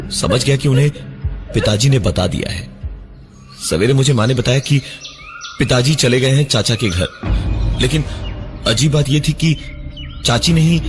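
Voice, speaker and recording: A man narrating a horror story in the first person, dramatically, with suspenseful, deliberate pauses. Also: on the low side. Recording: music behind the voice, 3D voice effects. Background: prominent music.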